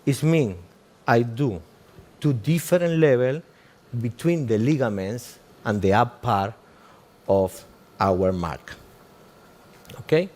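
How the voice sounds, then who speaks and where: A man with a Cuban Spanish accent walks listeners through a live treatment in halting, non-native English. He keeps checking in with "okay?" and drops in light jokes as he works. His voice sits at an ordinary middle pitch. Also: a little mellow. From Cuba. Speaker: aesthetic doctor giving a live demo. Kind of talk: live procedure demonstration.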